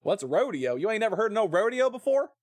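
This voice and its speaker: Male streamer, American, fairly high voice, playful folksy drawl in asides, casual gameplay chat, dry close sound. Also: very animated, quick pace, dry recording. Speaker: game streamer. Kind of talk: casual joking live gameplay commentary, reading game text aloud.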